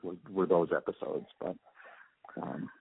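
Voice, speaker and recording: A man, middle-aged or older, answering interview questions in a thoughtful, explanatory, halting way. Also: low-pitched, flat delivery, vocal fry, narrow, tinny audio, slight background noise. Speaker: interview guest, radio drama post-production supervisor.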